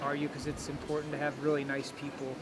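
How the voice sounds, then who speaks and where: Brief: male college coach, plain and encouraging, a bit higher pitched, taped outdoors near traffic. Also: monotone, fast talker. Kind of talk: interview answers. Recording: noisy outdoor recording.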